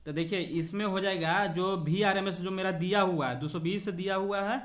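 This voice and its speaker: A man's voice: sits high, fairly even intonation, moderate pace, fairly bright tone. A man teaching an exam class, working through practice questions, repeating key points and checking in with short confirmations, mixing in English terms. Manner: switches between Hindi and English when reading aloud.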